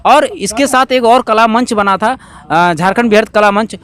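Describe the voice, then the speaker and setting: High-pitched Jharkhandi man, emphatic interviewee. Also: measured pace, fairly bright tone. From Jharkhand, India. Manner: emphatic, repeats phrases, asks rhetorical questions. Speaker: activist being interviewed. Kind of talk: explanatory interview answers on camera.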